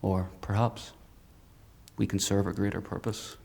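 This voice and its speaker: An actor playing a menacing, fanatical hunter in a dark fantasy audio drama, speaking in a deliberate, taunting, theatrical way. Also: male voice, lowish voice, level tone, creaky. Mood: gloating, taunting. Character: menacing male fanatical hunter, coldly articulate, mocking.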